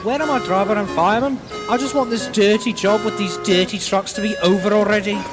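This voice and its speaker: A man's voice: high-pitched, fairly even intonation, brisk, over music, close to an everyday voice. A man voicing a vain, impatient steam engine who complains in a haughty manner.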